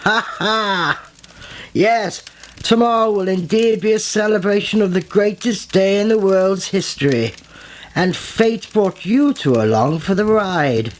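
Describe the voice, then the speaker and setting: An actor playing a pompous, blustering British lord in an old-style radio play. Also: male voice, high-pitched. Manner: pompous, old-fashioned upper-class phrasing. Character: pompous, blustering English lord, secret cult leader. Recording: echoey hall with faint noise.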